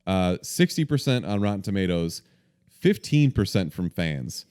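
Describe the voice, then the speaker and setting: A young man hosting a casual movie podcast, brisk and a bit sweary, running the segments. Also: low voice, very animated, a little mellow, clear, resonant tone. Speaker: podcast host.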